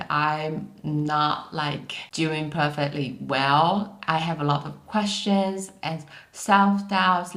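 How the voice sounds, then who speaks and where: Low-voiced young woman vlogger, echoey room. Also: gravelly, a bit bright. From Taiwan. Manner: chatty, candid, repeats words for emphasis. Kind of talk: casual vlog talk.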